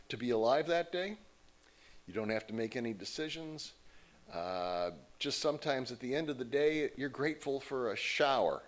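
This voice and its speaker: An American man speaking as a guest at a church service, telling stories in a conversational way. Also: mid-range voice. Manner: conversational, storytelling with quoted voices. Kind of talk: informal talk from the pulpit.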